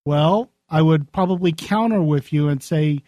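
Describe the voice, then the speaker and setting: A man co-hosting in casual chat, with a hesitant, measured delivery. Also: on the high side, dark tone, no room sound, clean studio sound. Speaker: co-host.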